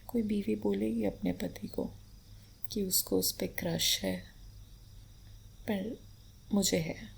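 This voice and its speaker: A woman playing an affectionate, playful, devoted wife in an intimate romantic ASMR roleplay, speaking tenderly in a breathy half-whisper with light teasing. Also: mid-range voice, fairly even intonation, takes it slowly, pauses often, heavily acted. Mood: playful, adoring. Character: affectionate, playful, devoted Indian wife adoring her husband. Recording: faint background noise.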